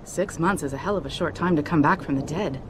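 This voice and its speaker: An actress playing a brisk, frank helicopter pilot in a video game, speaking in a familiar way. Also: female voice, low-pitched, close to an everyday voice. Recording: vehicle ambience noise behind voice.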